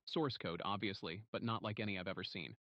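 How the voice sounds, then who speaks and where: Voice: sits low, brisk, a bit bright, no echo, dull audio. A performer voicing a jaded technical investigator who narrates case notes in a clipped, literary, disdainful way. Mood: dry disdain. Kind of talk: scripted narration, analog horror log.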